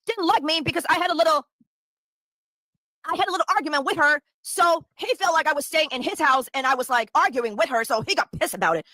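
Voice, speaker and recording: A woman rants in a very high, bright voice. She swears freely and circles back over the same complaints again and again, talking fast in a dry, close sound. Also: no room sound. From Taiwan. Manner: heavy swearing, repetitive venting. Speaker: streamer in a played clip. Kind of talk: ranting casual chat.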